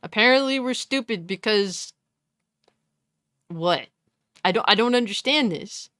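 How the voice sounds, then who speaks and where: A woman with a fairly deep voice giving a blunt, scornful, profane commentary rant. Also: lively intonation, unhurried, fairly bright tone, dry recording, studio quality. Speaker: commentary YouTuber. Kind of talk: ranting commentary.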